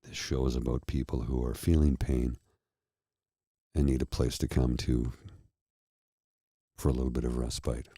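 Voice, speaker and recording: A man with a very deep, dark, husky voice speaks slowly and earnestly, a tired frog in his throat. The sound is dry and close, with no room around it. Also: level tone, no echo. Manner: slow, earnest, heartfelt. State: tired and a little froggy-throated. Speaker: host. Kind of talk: candid personal talk to viewers.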